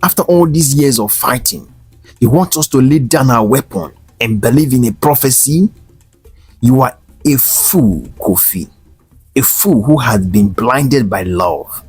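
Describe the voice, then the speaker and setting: Man acting a fierce, proud warrior uncle, husky and echoey. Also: medium pitch, lively intonation, measured pace, voice pushed up. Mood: scornful. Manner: thunderous, forceful declaiming. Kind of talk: dramatic folktale character voice. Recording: roomy echo over faint music.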